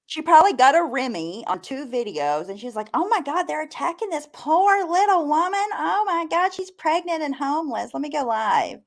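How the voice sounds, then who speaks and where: A woman's voice: high voice, animated, moderate pace, few pauses. A woman chatting casually and gossipy as a panelist on a live stream.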